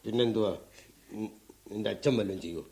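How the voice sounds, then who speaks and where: An actor playing a drunken, defiant, aggrieved husband in a radio drama, with a southern Kerala Malayalam accent and a blustering, grumbling delivery. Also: male voice, fairly low pitch, fairly quick, creaky, dark tone. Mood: belligerent. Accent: Kerala Malayalam, southern Travancore. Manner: blustering, grumbling, with frequent grunts. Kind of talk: mid-century Indian radio drama acting.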